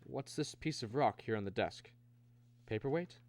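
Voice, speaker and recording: A man's voice: medium pitch, animated, normal speed, natural voice. An actor in a radio drama playing an earnest, troubled man, both narrating and acting in the scenes. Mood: puzzled, subdued. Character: earnest, troubled man searching for his missing brother. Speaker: lead and narrator.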